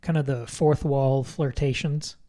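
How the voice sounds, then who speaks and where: A man with a soft-edged, mellow voice talks through a book as a podcast co-host. He is reflective and articulate, in a relaxed conversational register. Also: medium pitch, measured pace.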